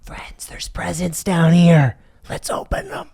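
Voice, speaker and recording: A man's voice: on the high side, big pitch swings, slow, creaky, darkish tone, slightly rough, in a whisper. A man chatting casually as a player in a tabletop game, tossing in playful in-character quips.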